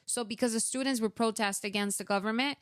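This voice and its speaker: Young woman, smooth clear voice, dry close sound, chatty with lots of fillers. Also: fairly high pitch, steady tone, fast, no echo. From Venezuela. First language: Spanish. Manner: chatty, many fillers, acts out her own past thoughts. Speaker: guest. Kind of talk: casual interview storytelling.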